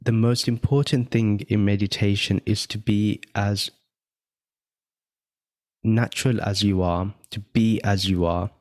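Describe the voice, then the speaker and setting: A man leading a guided meditation, giving gentle step-by-step instructions with long pauses between phrases. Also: fairly low pitch, steady tone, no room sound, studio quality. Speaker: meditation guide and podcast host.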